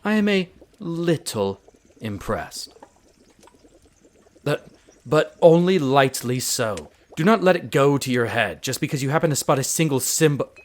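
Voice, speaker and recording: Male actor as proud elf archaeologist, mid-pitched male voice, haughty, formal, exasperated, dramatic pauses, fantasy audio roleplay. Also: very animated. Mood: grudgingly impressed. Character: proud, prickly male winter elf archaeologist, secretly soft. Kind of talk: scripted audio roleplay addressed to the listener.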